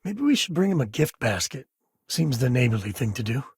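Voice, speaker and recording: An actor playing a wry, laid-back former ranger and space explorer in a video game, a husky man talking in a folksy, conversational way. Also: mid-range voice, sing-song, brisk, darkish tone, no echo, clean studio sound, exaggerated voice. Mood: wry, casual. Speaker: video game companion character. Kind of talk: video game voice acting, casual dialogue.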